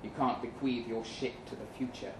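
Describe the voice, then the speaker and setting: A man performing a live short-story reading as a weary, jaded agent, speaking deliberately with dramatic pauses. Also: medium pitch, fairly even intonation, lightly acted. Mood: wry, matter-of-fact. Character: weary, jaded male agent narrating his own story. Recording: roomy live venue, some noise.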